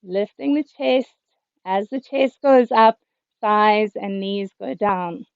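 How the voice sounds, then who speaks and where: A woman yoga teacher, a little hoarse, calmly cueing breath and movements through a class over a video call. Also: medium pitch, slow pace, no room sound. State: slightly hoarse. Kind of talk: guided yoga instruction. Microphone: computer mic on a video call. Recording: dull, lo-fi video call audio.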